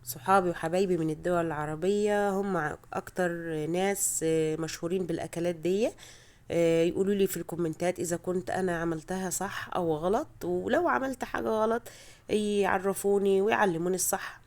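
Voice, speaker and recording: A woman's voice: on the low side, fast, a little mellow. A woman with an Egyptian Arabic accent gives a casual, chatty, self-deprecating recipe voice-over as a home cook, her voice altered by a cold. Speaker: home cooking vlogger.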